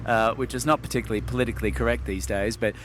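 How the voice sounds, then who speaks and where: A man's voice: medium pitch, steady tone, rapid-fire, noisy background. A middle-aged man from Sydney, Australia, a wine expert guest, speaking in articulate, wordy sentences with hesitant fillers. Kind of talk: relaxed interview talk.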